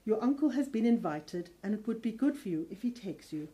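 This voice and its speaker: A woman acts out an older spinster housekeeper in an audio drama. She has a mellow tone at an ordinary female pitch, and she is motherly, practical and gently insistent. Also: expressive, fairly quick, lightly acted. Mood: concerned, insistent. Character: kindly, fussing older spinster housekeeper, worn-out and persuasive.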